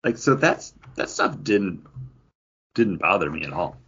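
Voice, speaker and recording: A man's voice: low-pitched, very animated, measured pace, fairly bright tone, slightly rough, muffled recording. A man co-hosting a podcast, talking casually and with opinions about comic book movies. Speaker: podcast co-host.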